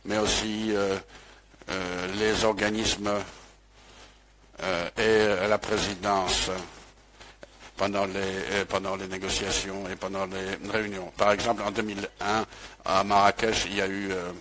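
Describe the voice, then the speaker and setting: A man's voice: lowish voice, level tone, a little slow, fairly bright tone, a little hiss or hum, poor audio. A man interpreting a webinar talk live, hesitant, with many fillers and restarts.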